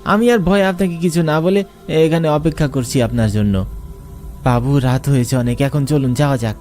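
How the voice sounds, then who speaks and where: Guy doing a meek rickshaw puller, high voice, soft and polite, music under it. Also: moderate pace, natural voice. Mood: deferential. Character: humble village rickshaw puller man. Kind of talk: animated horror story voice acting.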